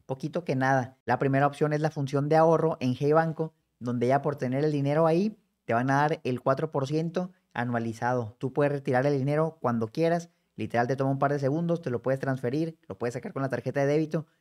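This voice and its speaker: Brisk man explaining personal finance. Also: medium pitch, darkish tone. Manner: brisk, conversational, addresses viewer directly. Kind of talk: explanatory screen-share tutorial.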